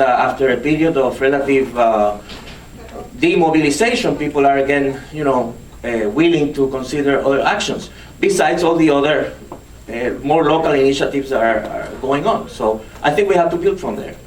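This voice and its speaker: A man on a conference panel, speaking in a measured way with frequent fillers. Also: medium pitch. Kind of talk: panel answer on activism. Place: conference room. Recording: echoey room, distant mic, faint noise.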